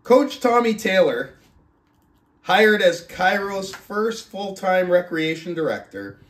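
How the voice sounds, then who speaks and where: A man hosting a book club podcast, talking casually and reading aloud with deliberate, drawn-out emphasis and pauses. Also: high-pitched, big pitch swings, fairly bright tone. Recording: roomy, echoing sound.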